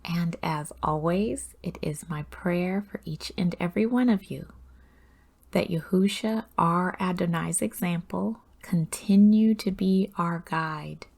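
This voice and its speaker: A woman hosting a devotional scripture reading, speaking in a measured, reverent and solemn manner. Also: fairly low pitch, vocal fry, a little mellow. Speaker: host reading scripture aloud.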